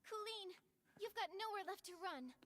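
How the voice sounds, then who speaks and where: A woman voicing an earnest young female adventurer in an anime-style game, emphatic and expressive. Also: very high voice, moderate pace. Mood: accusing, indignant.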